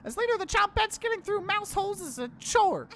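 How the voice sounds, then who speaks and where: A woman's voice: extremely high pitch, sing-song, a little fast, heavy background noise, exaggerated voice. A woman voicing a bossy, perky little cabbage creature in a video game, chirpy and childlike. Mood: bossy, cheery. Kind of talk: visual novel game character acting. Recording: game music behind the voice.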